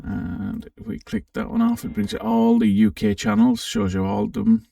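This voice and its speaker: A man giving a casual, unscripted software walkthrough, thinking aloud with some hesitation as he presents. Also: high voice, sing-song, moderate pace, creaky, noisy background. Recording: roomy, slightly distant microphone.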